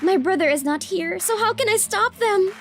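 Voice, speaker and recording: A woman voicing a proud, cocky teenage delinquent girl with a soft side, expressively, in a manga dub. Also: very high voice, lively intonation, normal speed. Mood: distressed. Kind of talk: scripted manga dub acting. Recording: music bed behind voice.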